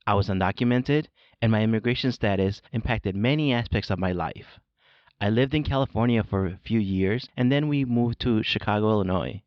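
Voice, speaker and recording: A man from Mexico, Spanish his first language, reads his own podcast script in a steady, measured way. His voice sits low. The recording is dry and a bit dull, with no room sound. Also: no room sound. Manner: measured, read-aloud delivery. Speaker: podcast host. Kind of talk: scripted solo narration.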